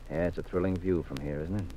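An actor playing a confident, teasing American husband detective in a 1950s radio mystery, with a wry, assured manner. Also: male voice, low voice, mellow, a little hiss or hum, lightly acted. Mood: affectionate, teasing. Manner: wry, assured, leading questions. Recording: muffled vintage radio recording.

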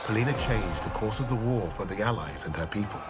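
An actor playing a stern special forces soldier in a video game, with a grave, resolute delivery. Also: male voice, fairly low pitch, lively intonation, fast talker, over a noisy crowd, lightly acted. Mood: grave narrating. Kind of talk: video game cutscene narration and dialogue. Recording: loud music behind the voice.

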